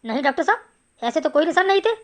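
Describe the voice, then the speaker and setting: A woman plays an eager cartoon villager, chatty and respectful. The voice is high-pitched and rattles along quickly in a deferential way. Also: sing-song. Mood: worried. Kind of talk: animated Hindi story dialogue.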